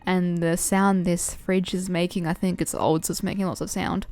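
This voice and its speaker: A woman narrating and hosting fan fiction audio in an expressive storytelling style. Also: on the low side, normal speed, no room sound. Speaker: narrator and host. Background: background music.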